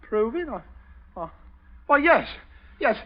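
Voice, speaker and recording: High, whiny young English son. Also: male voice, very animated, slow pace, full character voice. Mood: panicked, blurting. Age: young adult. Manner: stammering, protesting. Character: whiny, panicky, dim-witted grown son, cowed by father. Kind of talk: 1940s radio acting. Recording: muffled noisy old radio recording.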